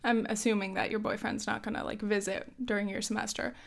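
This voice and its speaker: A young woman in her twenties giving casual advice in a chatty way, with many fillers and small laughs, a little hoarse from coughing. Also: medium pitch, flat delivery, brisk. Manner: chatty, lots of "like" and "um", laughs often. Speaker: advice host.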